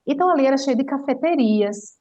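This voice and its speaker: A woman with a Brazilian Portuguese accent, a language teacher telling a lively travel story in casual chat, full of fillers. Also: sits high, a little fast. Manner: animated storyteller, chatty with many fillers.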